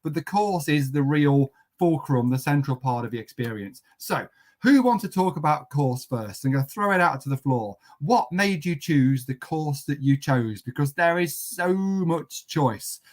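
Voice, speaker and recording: A jovial man leading a relaxed online panel of students, his voice sitting a touch higher than most men's. He hands the floor around with light teasing and an easy, chatty flow. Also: measured pace. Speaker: host. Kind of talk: hosting a casual online panel.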